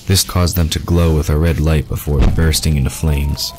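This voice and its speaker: Very deep-voiced male story narrator. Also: steady tone, normal speed, noisy background.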